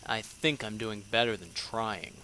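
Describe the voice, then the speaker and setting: Man playing a bright-edged, sardonic soldier. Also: lowish voice, fairly even intonation, unhurried, slight background noise, low-quality recording. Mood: defiant. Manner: dry, sarcastic, terse. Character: sardonic, defiant male soldier, a shaman's son. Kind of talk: fan audio drama acting.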